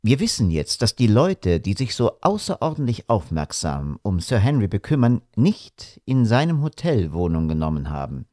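A man voicing a shrewd male detective who asks probing questions in a measured way. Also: on the low side, big pitch swings, a little mellow, dry recording, studio quality. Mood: calm.

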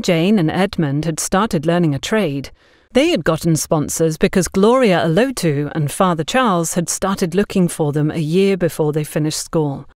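A woman narrates an audiobook story in a fairly low voice. The sound is dry and close, with a scripted storytelling delivery. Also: a little mellow, no echo.

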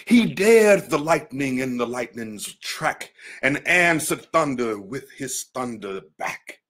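A man's voice: mid-range voice, lively intonation, slow pace, a bit bright. A man, an actor, reciting poetry in a solemn, declamatory style.

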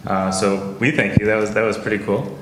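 A man's voice: on the low side, steady tone. Casual male interviewer in an echoey room. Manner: friendly, halting questions with fillers. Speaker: host. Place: office studio with a roomy echo. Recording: echoey room with faint noise.

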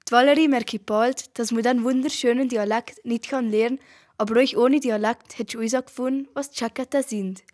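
A woman's voice: on the high side, steady tone, normal speed, a little mellow. A female narrator with a Valais Swiss German accent telling a scripted story in an amateur radio play.

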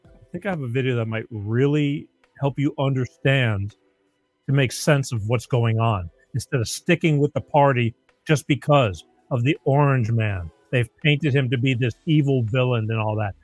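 A somewhat raspy man hosting an informal political talk show, plain-spoken and repeating phrases for emphasis. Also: medium pitch, normal speed, no room sound, faint music behind. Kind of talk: informal live opinion monologue to listeners.

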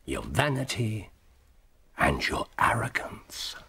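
An actor playing a brilliant, proud detective in a radio drama, with a British accent and articulate, deliberate, emphatic delivery. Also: male voice, on the low side, wildly swooping pitch, gravelly, pushed and bright, husky, full character voice. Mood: stern, triumphant. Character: brilliant, proud male detective, precise and relentless.

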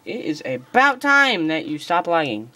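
An excitable host chats through a gameplay tutorial and pokes fun at their own stumbles. The voice sits at a middle pitch, and keyboard typing clicks in now and then. The sound is picked up by a phone pointed at a computer screen. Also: a little slow. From United States. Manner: chatty, excitable, self-deprecating. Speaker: gaming channel host. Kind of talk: casual game commentary tutorial. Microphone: phone filming a screen.

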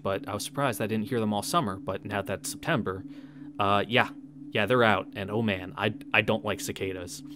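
A man's voice: on the low side, noisy background. A young man reading a visual novel aloud and adding casual, wry asides. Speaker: let's-play narrator. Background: game music.

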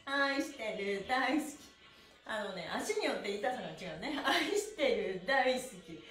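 A woman's voice: fairly high pitch, lively intonation, measured pace, fairly bright tone, faint music behind. A middle-aged woman leading a stretching lesson in a cheerful, exclamatory way, alternating instructions with chanted counts. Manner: cheerful, exclamatory, laughing often while moving. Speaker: stretching exercise instructor and mime teacher. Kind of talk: live-streamed exercise lesson with chanted counts. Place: small indoor room with mat and bare wall. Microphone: camera a few metres away. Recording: echoey room, distant microphone.

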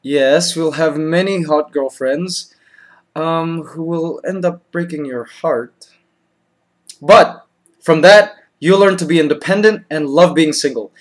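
A man's voice: on the high side, slow. A man in his thirties talking in a comedy vlog, patient and laughing, recorded close on a webcam in a small bedroom. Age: 30s. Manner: patient then exasperated, laughing. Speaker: comedy vlogger.

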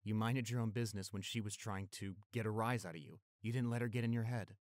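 A man's voice: fairly low pitch, fairly even intonation, quick pace, no echo, clean studio sound, natural voice. A man playing a protective, tender young boyfriend who turns fierce toward bullies, in an intimate audio roleplay. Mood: gentle, reassuring. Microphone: close studio microphone.

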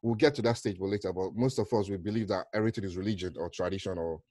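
A man's voice: low-pitched, quick pace, darkish tone, no echo, studio quality. A middle-aged man with a Nigerian accent, answering interview questions conversationally and often rephrasing himself as he goes. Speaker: counsellor, interview guest. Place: small room at home, on a video call. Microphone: headset.

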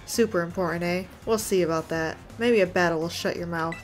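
A woman's voice: fairly low pitch, brisk, faint music behind, voice pushed down, lightly acted. A woman playing a cocky young apprentice. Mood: brash. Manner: brash, taunting.

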